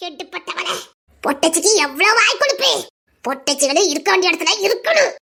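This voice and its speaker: A woman plays a menacing middle-aged mother in a melodrama, scolding viciously. The voice is extremely high, piercing and forceful, full of threat. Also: sing-song, fairly quick, creaky, full character voice. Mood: furious. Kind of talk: melodramatic drama acting.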